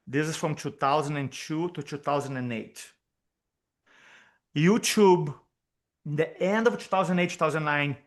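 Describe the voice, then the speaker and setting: A man from Brazil with a Brazilian Portuguese accent, whose first language is Portuguese, talking energetically as a speaking coach on a video call and repeating key points for emphasis. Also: fairly high pitch. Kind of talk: interview guest on video call.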